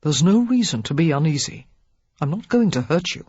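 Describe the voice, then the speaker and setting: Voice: medium pitch, sing-song, normal speed, a little mellow, slightly rough, dry recording, muffled recording. A narrator playing an impatient aristocratic man who speaks abruptly and dryly. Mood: curt. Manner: abrupt, dry, sardonic. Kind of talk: audiobook character voice.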